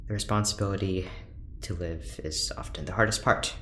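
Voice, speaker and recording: A man's voice: low-pitched, steady tone, normal speed, sharp tone. A man playing an earnest, guilt-ridden doctor in an audio drama, speaking in a serious, slightly formal and literal way. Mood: weary, somber. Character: stiff, earnest, guilt-ridden scientist doctor, socially awkward. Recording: roomy echo, background noise.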